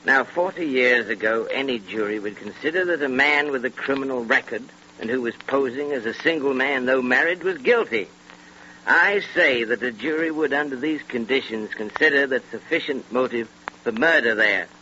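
An actor playing a seasoned British detective inspector, thoughtful and assured, in 1930s radio style. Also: male voice, mid-range voice, moderate pace, bright, forceful tone, lightly acted. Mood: reflective, assured. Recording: noisy, muffled 1930s radio recording.

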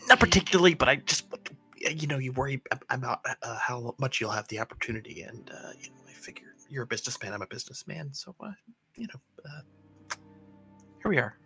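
A man's voice: mid-range voice, a little fast, bright, forceful tone, faint music behind. A man playing a character in a tabletop game, sounding hesitant and stringing his lines together with "uh". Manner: hesitant, many "uh" fillers. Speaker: player.

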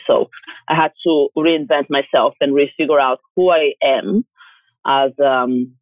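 A woman from Bulgaria with a Bulgarian accent, a yoga teacher and coach talking in a direct, forceful way and laughing often. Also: low voice, no echo. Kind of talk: casual interview talk. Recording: tinny phone line.

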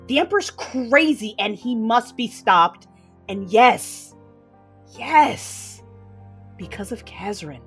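A woman playing a proud, defiant Sith lord who narrates her own story in a science fiction audio drama, intense and deliberate. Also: on the high side, animated, soft background music. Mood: urgent, impassioned.

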